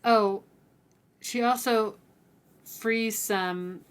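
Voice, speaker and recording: A woman's voice: on the high side, fairly even intonation, extremely slow, clear, resonant tone. A woman co-hosting a book discussion in a casual, tentative way, as if thinking aloud. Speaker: co-host of a book read-along podcast.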